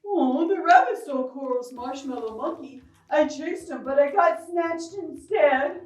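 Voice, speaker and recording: A woman's voice: sits high, lively intonation, unhurried, recorded from a distance, voice pushed up. A woman voicing an earnest, apologetic dog, a loyal sidekick in a storybook. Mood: sorry, agitated. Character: contrite male mutt, the detective's loyal buddy. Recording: roomy, off-mic, music behind.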